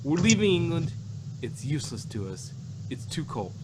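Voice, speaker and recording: A man chatting casually as a review co-host, often halting, repeating words and trailing off. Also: very animated. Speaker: podcast co-host reviewing audio dramas. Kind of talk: casual chat. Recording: loud background noise, vehicle-like rumble.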